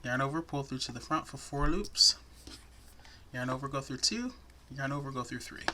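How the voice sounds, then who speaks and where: A man teaching crochet step by step in a soothing, soft-spoken voice, chatting between stitches. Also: mid-range voice, animated, moderate pace, a little hiss or hum. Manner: soft-spoken, chatty, self-deprecating asides. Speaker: crochet tutorial host.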